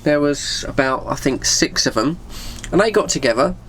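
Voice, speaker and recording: Animated middle-aged Englishman, casual storyteller. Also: fairly high pitch, moderate pace, slight background noise, low-quality recording. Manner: informal British slang, acts out bits of dialogue. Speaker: vlogger telling personal stories. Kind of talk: casual rambling anecdote chat.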